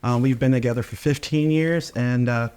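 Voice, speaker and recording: A man's voice: medium pitch, fairly even intonation, moderate pace, darkish tone, clean tone. A man who leads a men's ministry, introducing himself hesitantly with many fillers and a wry humor. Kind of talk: casual interview chat.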